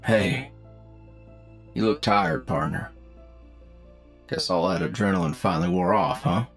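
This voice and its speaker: A man's voice: a little high, big pitch swings, unhurried, vocal fry, music in the background, heavily acted. A man playing a friendly, easygoing bounty hunter who mentors a rookie partner in a folksy, reassuring way, in an anime audio roleplay. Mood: reassuring, casual.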